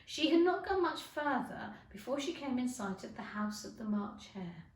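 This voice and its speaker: A woman narrating a children's story in a measured, careful read-aloud style. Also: a little high, sing-song, recorded from a distance. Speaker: storybook reader. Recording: echoey room.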